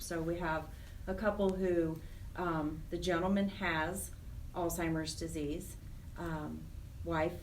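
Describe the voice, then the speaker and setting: A woman's voice: lowish voice, far from the mic, slight background noise, rough recording. A woman speaking as an interview guest, explaining her program's work in a conversational way.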